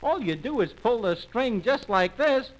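Guy doing little kid in a storybook, high voice, folksy n whiny, boastful but hopeless, music behind. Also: animated, measured pace, low-quality recording. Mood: eager then sheepish. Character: small, luckless, boastful young boy.

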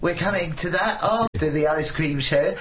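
A man's voice: on the high side, moderate pace, lo-fi sound, a little hiss or hum. A man hosting a studio show, chatting casually and asking curious questions. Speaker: podcast host. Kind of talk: casual chat.

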